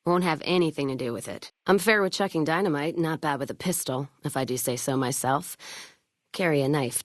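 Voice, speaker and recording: A woman's voice: deep voice, no echo, clean studio sound, lightly acted. A woman playing a tough, hard-drinking caravan trader in a video game, blunt and wry, with folksy, clipped talk. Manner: plain-spoken, folksy drawl of clipped sentences, swearing. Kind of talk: video game character voice acting.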